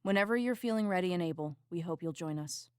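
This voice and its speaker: Female announcer for content advisory: clear smooth tone, slightly low, careful scripted read, dry sound. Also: fast, no echo. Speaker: announcer reading content warning.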